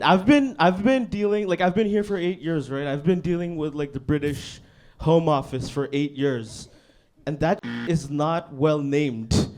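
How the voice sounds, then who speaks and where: A young man from Bangladesh doing a stand-up set on a comedy club stage, talking in a laid-back, deadpan way with lots of "you know what I mean". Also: sits high.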